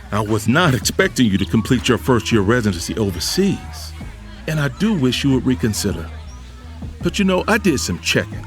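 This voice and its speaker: A man acts a proud, middle-aged patriarch in an audio drama. The voice is mid-pitched and very expressive, fatherly yet authoritative, over music. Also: natural voice. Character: proud, self-assured, dismissive patriarch father. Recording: music behind.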